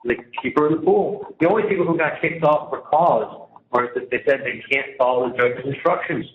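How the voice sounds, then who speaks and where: A man's voice: medium pitch, brisk. A man venting in a casual phone conversation, exasperated and repetitive as he recounts things at length from prison. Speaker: prison inmate on a phone call. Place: prison, on a recorded phone line. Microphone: telephone handset. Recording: dull echoey phone call, faint noise.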